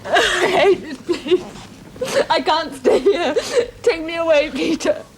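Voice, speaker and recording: A high, tremulous young-sounding woman scientist, fragile and frightened. Her phrases come haltingly, in seventies TV drama style. Faint hiss sits on the old soundtrack. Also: exaggerated voice. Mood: panicked, pleading. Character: distressed, frightened female scientist, fragile and sensitive. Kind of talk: 1970s television drama acting. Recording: hissy old television soundtrack.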